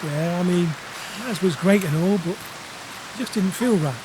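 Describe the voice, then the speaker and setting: An earnest British man, played by an actor in a radio comedy drama, hapless and a bit defensive. He seems oblivious as he talks, and clear rain and hiss run behind his voice. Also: sits high, a little slow. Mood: earnest, sheepish. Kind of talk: naturalistic audio comedy drama.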